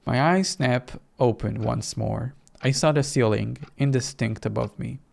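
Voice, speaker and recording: A narrator reading a first-person horror story dramatically, in a measured, suspenseful way. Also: medium pitch, creaky, darkish tone. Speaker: game narrator and reader.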